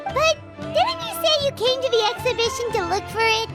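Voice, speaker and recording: Brief: a tiny excitable fairy sidekick, very high and bright, swooping wildly, for a game. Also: female voice, normal speed, faint music behind, exaggerated voice. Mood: eager. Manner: bubbly, excitable, talks of self in third person. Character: small chirpy floating fairy companion, eager. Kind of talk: game voice acting.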